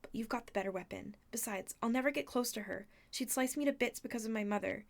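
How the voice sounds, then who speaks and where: A woman's voice: a little high, fast talker, vocal fry. A woman voicing a brainy, bossy young girl in a storybook reading, speaking with urgent insistence. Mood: insistent. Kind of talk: audiobook character voice.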